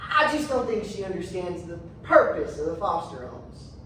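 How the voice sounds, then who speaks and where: A woman's voice: lowish voice, sing-song, normal speed, a bit bright. A woman playing a defensive, evasive official who placates and hesitates in a stage drama. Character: defensive, evasive official excusing harsh child therapy. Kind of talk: competitive stage readers theatre. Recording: echoey room, distant mic, faint noise.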